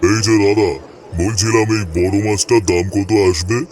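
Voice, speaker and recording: A man's voice: lowish voice, steady tone, normal speed, darkish tone, slight background noise, lightly acted. A man voicing a genial, opinionated old grandfather with a folksy, teasing manner in a village cartoon. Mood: haggling. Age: older. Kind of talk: Bengali cartoon dialogue.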